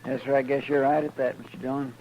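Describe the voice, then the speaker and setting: An actor playing an earnest, deferential Texas deputy with a homespun Southern drawl in a 1950s radio western. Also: male voice, mid-range voice, little pitch movement, fast talker, natural voice. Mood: earnest, folksy. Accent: Southern American, Texas drawl. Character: folksy, simple, deferential male deputy from Texas. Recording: muffled, noisy old radio recording.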